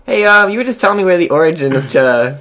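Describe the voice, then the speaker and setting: A man's voice: high voice, lively intonation, quick pace, fairly bright tone. A man co-hosting a casual podcast, bantering and firing off questions. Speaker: podcast co-host. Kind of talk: casual chat.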